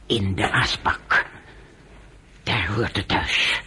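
An actor playing an embittered, principled senior official in a radio drama, with a husky voice and a brooding, indignant manner. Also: male voice, lowish voice, very slow, background noise.

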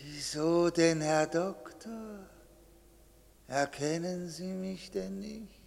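An actor playing a delirious, guilt-ridden bureaucrat in a 1950s German radio play, halting and anguished as he pleads. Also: high-pitched, creaky, a little mellow, full character voice. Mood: confused, irritated. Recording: 1950s radio studio, long reverb.

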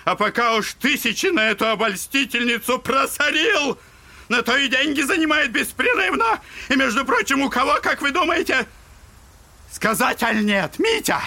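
A man's voice: very high voice, animated, moderate pace, gravelly, heavily acted. An actor playing a ranting, clownish, spiteful old father in an audio drama, theatrical and whining with mock piety. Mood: wailing outrage. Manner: theatrical, whining, mock-pious wailing and shouting. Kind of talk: Russian classic audio drama acting.

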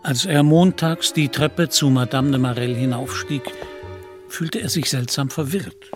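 A man's voice: medium pitch, unhurried, over music. A man giving scripted literary narration in a radio play.

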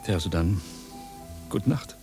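An actor playing a gruff, foul-mouthed, touchy uncle with a husky voice in a radio play. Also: male voice, low-pitched, expressive, a little slow, music in the background. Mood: grumbling, calmer.

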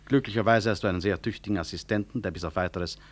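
An actor playing a composed, sardonic senior hospital director in a 1960s radio drama, speaking with dry irony. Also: male voice, low voice, quick pace. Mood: ironic. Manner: dry, ironic. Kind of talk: 1960s German-language radio drama acting.

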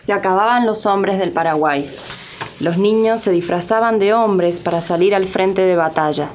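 A woman narrates a radio drama gravely from a script, at an ordinary pitch. The recording is a touch dull with faint noise. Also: normal speed, darkish tone.

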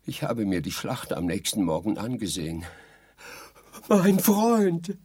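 An actor playing a haughty, exasperated dead sleep researcher in a theatrical radio play, declaiming and lecturing indignantly. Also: male voice, lowish voice, huge pitch swings, measured pace, a little mellow, slightly rough, exaggerated voice. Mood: melancholy, dramatic.

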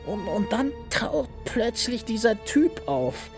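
An actor in a radio drama playing a self-important, foul-mouthed film director, excitable and prone to stammering. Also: male voice, very high-pitched, takes it slowly, heavily acted. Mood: exasperated. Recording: music behind the voice.

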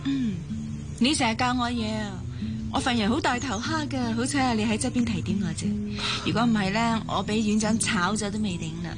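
A woman's voice: fairly high pitch, brisk, over music, natural voice. A woman acting in a Hong Kong Cantonese radio drama as a friendly, curious and determined young surgeon. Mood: friendly, teasing.

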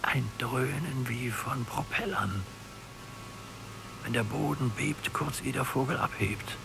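An actor playing an old, nearly blind prisoner in a radio drama, musing in a halting, poetic way. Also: male voice, medium pitch, creaky, music in the background. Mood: brooding, dreamy. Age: older.

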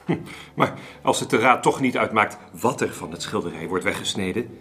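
Animated, courteous master painter. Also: male voice, on the low side. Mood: wary, polite. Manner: polite, measured, questioning. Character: courteous, wary master painter of past centuries. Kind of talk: period radio drama acting.

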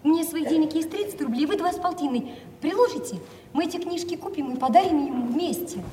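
An actress playing a kind, earnest young woman in a theatrical audio play, speaking politely and gently. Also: high-pitched, expressive, quick pace. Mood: polite, concerned. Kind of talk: theatrical stage dialogue. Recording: echoey hall with faint noise.

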